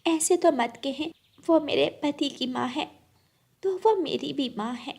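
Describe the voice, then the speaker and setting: A woman's voice: high voice, a little slow, gravelly, darkish tone, voice pushed up. A woman voicing a meek, sweet young wife bird in a cartoon story, deferential and plaintive. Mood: hurt, protesting. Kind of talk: Hindi cartoon story acting.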